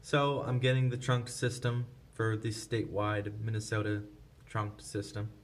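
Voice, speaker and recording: A man's voice: fairly low pitch, flat delivery, unhurried. A man presenting a casual hands-on product tutorial, explaining informally step by step without a script. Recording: clear background noise.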